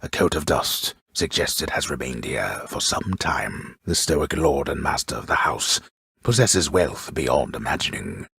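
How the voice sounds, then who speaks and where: A grave, grandiose storyteller of the underworld for a video game. His voice is extremely low and heavily husky, with a gravelly edge. He narrates in measured, formal phrases with dry, wry mockery, recorded close with no room sound. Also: big pitch swings, fairly bright tone, dry recording, full character voice. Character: grave, grandiose male storyteller of an underworld myth, drily mocking.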